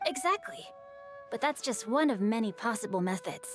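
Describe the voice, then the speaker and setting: A woman's voice: fairly high pitch, sing-song, normal speed, faint music behind. Refined, bookish young boy poet. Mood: poised, eloquent. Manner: eloquent, composed, literary phrasing. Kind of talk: video game voice acting.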